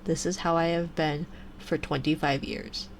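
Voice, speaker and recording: A woman in her twenties with a stuffy nose, chatting softly on a live ASMR stream and slipping into whispers. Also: low-pitched, unhurried, slight background noise. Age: 20s. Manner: soft and relaxed, drifting into whispers. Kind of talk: casual chat to a live stream. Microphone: binaural mic.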